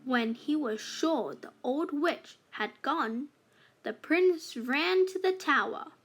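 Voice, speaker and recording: A woman reading a children's fairytale aloud as a storybook narrator, with deliberate phrasing for young learners. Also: sits high, animated.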